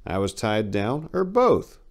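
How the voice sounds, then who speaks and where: A man's voice: fairly low pitch, sing-song, slow. A man presenting and narrating short stories in an emphatic, theatrical reading style. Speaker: channel host, author reading stories. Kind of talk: scripted introduction and dramatic narration.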